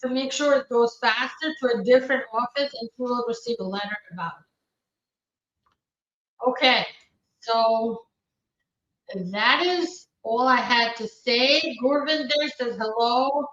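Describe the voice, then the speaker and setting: A woman from Ukraine hosts a live immigration Q&A, reading out viewer questions and answering them with quick, practical replies. Her voice sits a little high. The stream audio is dull and distant, with a roomy echo around her. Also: a bit bright, a little hiss or hum. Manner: brisk, matter-of-fact answers with frequent fillers. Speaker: immigration attorney hosting a live Q&A.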